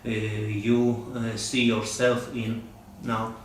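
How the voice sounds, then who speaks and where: A man interviewing guests in hesitant, non-native English, with many pauses and fillers. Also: medium pitch, flat delivery, a little hiss or hum. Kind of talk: interview questions. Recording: very echoey room, distant mic, faint ambience.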